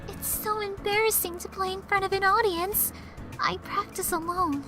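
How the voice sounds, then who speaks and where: A shy teenage girl from a visual novel game. Her voice sits extremely high and bright. She is sweet and anxious, with a hesitant way of speaking, over light music. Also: fairly even intonation, moderate pace, poor audio. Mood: nervous, shy. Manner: timid, hesitant.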